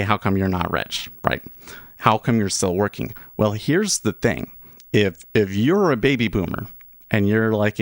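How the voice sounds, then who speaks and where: A man in his thirties talks loosely to his livestream viewers, circling back and restarting his sentences as he goes. His voice sits fairly low, and the sound is dry and close, with no room echo. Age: 30s. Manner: rambling, with many restarts and asides. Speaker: livestream host, day trader. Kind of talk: casual livestream commentary.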